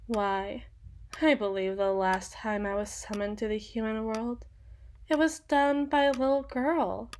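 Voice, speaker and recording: A woman playing a sadistic, teasing female demon in an ASMR roleplay monologue, with theatrical, taunting and deliberate phrasing. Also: on the high side, a little hiss or hum.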